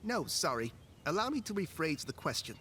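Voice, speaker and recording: A man's voice: on the high side, very animated, moderate pace, bright, forceful tone, a little hiss or hum. An actor playing a creepy, falsely genteel male photography teacher in a visual novel, speaking in a theatrical, overly polite way. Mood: politely probing. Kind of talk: scripted visual novel voice acting.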